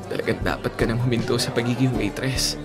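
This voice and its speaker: A devoted young Filipino husband in a radio drama, played by a male actor. He is gentle and earnest, with a mid-pitched voice over a clearly audible music bed. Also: very animated, moderate pace, natural voice. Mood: overjoyed, tender. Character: devoted, soft-hearted young husband and expectant father. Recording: music bed behind dialogue.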